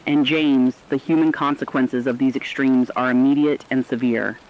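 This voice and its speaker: Guy doing a serious radio reporter, mid pitch, formal disaster report, bit muffled lo-fi sound. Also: steady tone, moderate pace, slight background noise, close to an everyday voice. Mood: grave, urgent. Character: sombre radio correspondent reporting mass human suffering. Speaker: news correspondent.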